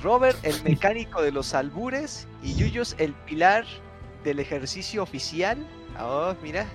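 A man's voice: on the high side, a little slow, faint music behind. A man with a Mexican accent hosting a casual podcast chat, reading out listener messages and joking with his co-hosts. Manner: lively, reads messages aloud and jokes along.

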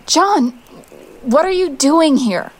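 A woman's voice: high voice, lively intonation, slow, a little mellow, a little hiss or hum. An actress playing a resolute young female exile in an audio drama, earnest and emotional, tender but firm. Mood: wary, firm.

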